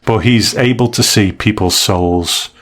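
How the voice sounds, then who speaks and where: Male co-host with a lowish voice, measured and understated, chatting through a casual review. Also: fairly bright tone. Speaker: podcast co-host.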